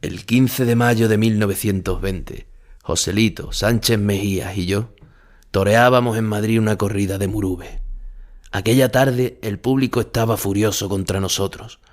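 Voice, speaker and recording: A male actor with a Spanish accent playing an ageing, reflective, melancholy bullfighter, narrating memories in a measured, intimate way. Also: fairly low pitch, fairly even intonation, close to an everyday voice. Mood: grave. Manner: measured, solemn, intimate storytelling. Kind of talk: first-person literary narration in an audio drama.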